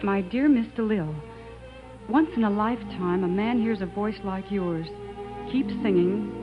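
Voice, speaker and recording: An actress as a sweet radio starlet, dull recording. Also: female voice, mid-range voice, measured pace, lightly acted. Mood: touched, reading. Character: sweet young female radio singer, delighted by admirers. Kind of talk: 1950s radio acting. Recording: dull 1950s radio recording, faint music.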